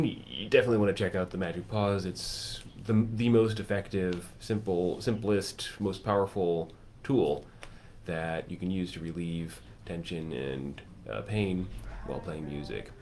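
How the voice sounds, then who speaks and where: A man hosting a casual chat, enthusiastically pitching a course and piling up lists and vivid comparisons. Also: normal speed, a little mellow, a little hiss or hum. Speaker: music teacher and course host.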